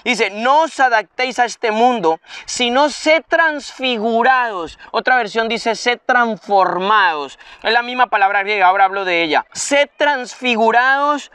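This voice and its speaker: A man preaching a sermon, teaching from the Bible and slowing down to quote verses, with repeated phrases that build up. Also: extremely high pitch, expressive, sharp tone, smooth, dry recording, recorded from a distance. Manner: explains word by word, slows to quote scripture.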